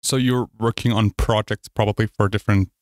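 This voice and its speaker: A man's voice: lowish voice, fairly even intonation, fairly quick, darkish tone, dry recording. A man hosting a podcast, reading a scripted intro and then chatting with a guest in an upbeat way. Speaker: podcast host. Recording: dry voice with faint music.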